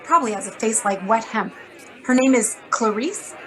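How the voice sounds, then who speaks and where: An actress playing a brisk, blunt, wisecracking female editor in a hard-boiled radio drama. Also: on the high side, a bit bright, some chatter behind, natural voice, low-quality recording. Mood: businesslike. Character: brisk, sardonic, worried female magazine editor.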